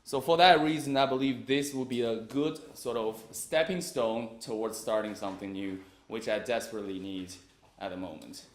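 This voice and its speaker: A man's voice: on the low side, moderate pace. A young man giving a competitive debate speech, arguing his case with frequent hesitations and fillers. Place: university debate room. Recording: echoey room.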